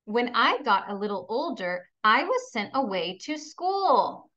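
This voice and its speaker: Female kindergarten teacher, unhurried and bright, encouraging read-aloud delivery for little ones, slightly lo-fi sound. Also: on the high side, sing-song. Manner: encouraging, instructional, addressing young pupils with questions. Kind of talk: children's read-aloud lesson.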